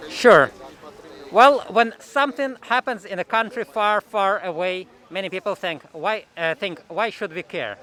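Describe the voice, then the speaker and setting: A man's voice: high-pitched, unhurried, fairly bright tone. A man from the former Soviet Union with an Eastern European accent, explaining his views hesitantly in a street interview. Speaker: rally participant giving an opinion. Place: outdoors at a city rally in Canada.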